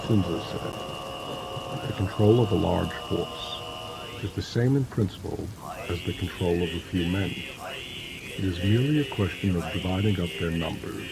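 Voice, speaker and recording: A man giving a deliberate, solemn, dramatized narration of an ancient treatise for an audiobook. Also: deep voice, gravelly, very noisy.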